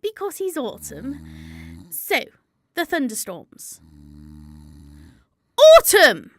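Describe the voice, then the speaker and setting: A woman's voice: high-pitched, huge pitch swings, drawn-out words, heavily acted. A woman acting a bossy, pompous season spirit in a comedic radio drama, speaking formally and officiously. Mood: brisk. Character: Spring, prim bossy female season chairing a meeting.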